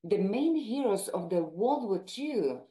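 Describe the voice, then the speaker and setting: A woman's voice: mid-range voice, sing-song, slow pace, warm tone, rough recording. A woman from Ukraine explaining her research as an academic guest in an interview, speaking spontaneously.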